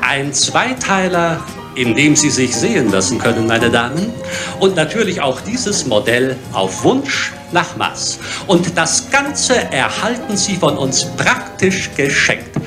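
An actor playing a middle-aged fashion show presenter, giving smooth, boastful, emphatic sales patter into a handheld microphone. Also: male voice, fairly high pitch, big pitch swings, slow pace. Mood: smooth selling. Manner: showy, emphatic sales delivery. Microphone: handheld microphone on stage. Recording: music under the voice.

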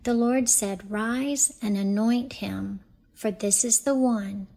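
A woman reading scripture aloud in a solemn, measured and deliberate way. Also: on the high side, vocal fry, dark tone. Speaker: scripture reader.

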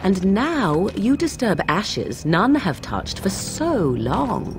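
Sly, haughty young witch, fantasy game: slow, lilting, mocking, archaic. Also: medium pitch, wildly swooping pitch, exaggerated voice. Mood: cool, probing. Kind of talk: theatrical fantasy game acting. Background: game music.

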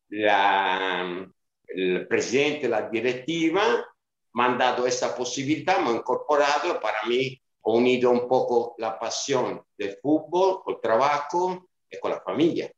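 Slow, hesitant Italian man on video call. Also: medium pitch. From Sicily, Italy. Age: 50s. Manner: hesitant, many fillers and restarts. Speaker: interview guest, club director. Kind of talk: casual interview answers. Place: small room at home. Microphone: video call webcam. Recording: roomy, dull video call audio.

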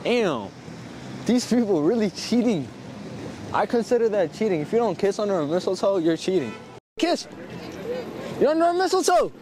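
A man filming a playful prank vlog out in a busy crowd, teasing strangers loudly, cold and sniffly. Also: high-pitched, big pitch swings, moderate pace, long pauses. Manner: loud, playful, teasing, swears casually. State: cold, runny nose. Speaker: prank vlogger. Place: outdoors in a busy city crowd. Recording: loud music and crowd noise outdoors. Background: loud music and crowd.

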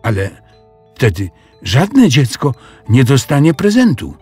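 A man's voice: mid-range voice, huge pitch swings, slow, darkish tone, breathy edge, soft background music, heavily acted. A man voicing a naive young schoolboy with an earnest manner in a children's audio story. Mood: worried.

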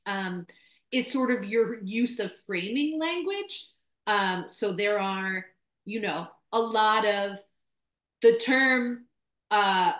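Moderator, 30s woman: measured questions, many ums, roomy webcam sound. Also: mid-range voice, fairly bright tone. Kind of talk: moderating a Q&A on a video call. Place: small room indoors. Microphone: video call webcam.

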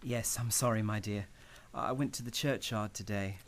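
An actor playing a gentle grieving father in an old-time radio drama, soft and tender. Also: on the low side, fairly even intonation, moderate pace, slight background noise, lightly acted. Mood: tender grief.

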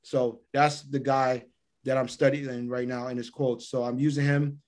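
A man's voice: mid-range voice, level tone, moderate pace, darkish tone. A middle-aged man speaking as a podcast guest over a video call, explaining his routines conversationally and repeating phrases for emphasis. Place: indoor room by a window, on a video call.

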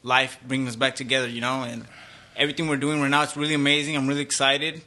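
Enthusiastic male guest, very bright and tense, slight background noise. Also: medium pitch, little pitch movement, fast talker. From Orange County, California. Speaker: podcast guest. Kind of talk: casual self-introduction.

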